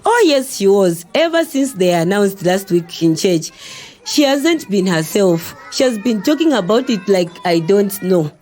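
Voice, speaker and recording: A woman's voice: medium pitch, a little slow, soft background music. A woman with a Zambian accent playing a chatty, inquisitive middle-aged mother in an educational audio drama, exclaiming and asking questions. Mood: curious. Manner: animated, exclaiming, full of questions.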